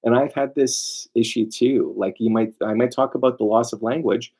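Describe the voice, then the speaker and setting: A man lectures informally in a mellow, raspy voice with plenty of fry. He speaks emphatically, drifting into asides and putting rhetorical questions to his listeners. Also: medium pitch, fairly even intonation, moderate pace. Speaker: lawyer presenting a seminar.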